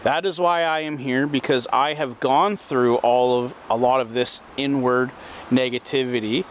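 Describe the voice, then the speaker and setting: A man giving a slow, earnest self-help talk that circles back over the same points. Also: mid-range voice, steady tone, slight background noise.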